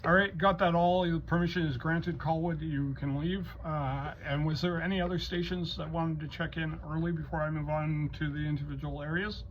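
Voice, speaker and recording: Guy doing ham radio net control, courteous, bit halting, lo-fi recording w slight noise. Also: fairly high pitch, steady tone. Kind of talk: procedural radio net hosting. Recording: dull lo-fi audio, faint noise.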